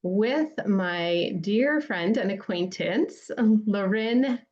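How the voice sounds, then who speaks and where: A woman's voice: medium pitch, animated, very slow. A woman hosting a podcast interview, speaking with effusive praise for her guest. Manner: warm, effusive praise, faith-centered. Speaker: podcast host. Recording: dull lo-fi sound.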